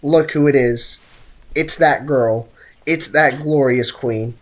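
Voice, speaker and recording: A man's voice: medium pitch, slow pace. A young man giving casual, slangy game commentary and joking with his livestream chat. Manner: slangy, jokey, theatrical outbursts.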